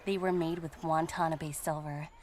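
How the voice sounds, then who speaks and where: A woman's voice: low voice, steady tone, moderate pace, slight background noise, natural voice. A woman playing an arrogant, spiteful rich girl rival with a cutting manner in an anime-style audio drama. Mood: cold, scheming.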